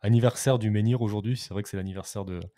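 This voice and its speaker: A man's voice: fairly low pitch, fairly even intonation, very fast, mellow, no echo, clean studio sound. A man hosting a casual live-stream chat, reading viewer questions aloud and laughing often. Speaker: host.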